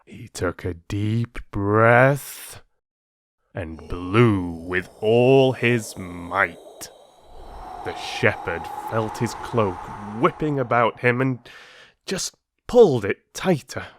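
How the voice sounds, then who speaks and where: A man narrating as an audiobook storyteller, with expressive, deliberate and dramatic phrasing, close to the microphone. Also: lowish voice, slight background noise. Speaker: storyteller and channel host.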